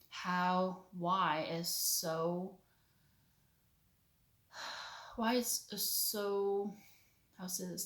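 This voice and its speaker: A woman in her thirties from China, speaking English with a Chinese accent as a non-native speaker, giving an earnest personal faith testimony in a halting way, searching for words. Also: on the low side, roomy sound. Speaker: vlogger, stay-at-home mother.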